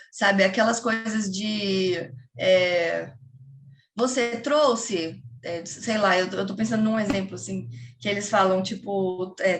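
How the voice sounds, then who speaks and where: A woman who teaches English, talking casually to fellow teachers in a training session, chatty and animated, acting out classroom chants. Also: mid-range voice. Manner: chatty, lively, quotes children and classroom chants. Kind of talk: casual explanatory talk to colleagues.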